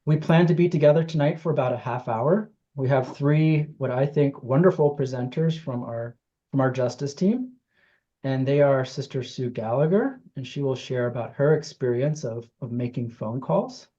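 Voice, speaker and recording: A host informally welcoming people to an online meeting, speaking haltingly and searching for words. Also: medium pitch, warm tone. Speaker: host, justice team director. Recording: dull, lo-fi meeting audio.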